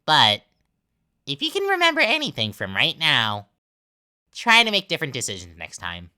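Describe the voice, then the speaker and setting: A woman's voice: low-pitched, wildly swooping pitch, normal speed, pushed and bright, exaggerated voice. A woman voicing a manic, childish talking flower villain from a video game, theatrical and mocking, swinging between cutesy and menacing. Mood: smug, resigned. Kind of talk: dramatic game villain monologue voice acting.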